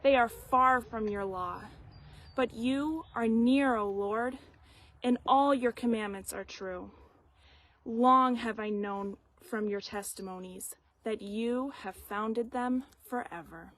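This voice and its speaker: A woman reading scripture aloud in a measured, deliberate way. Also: on the high side, a bit bright. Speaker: Bible reader.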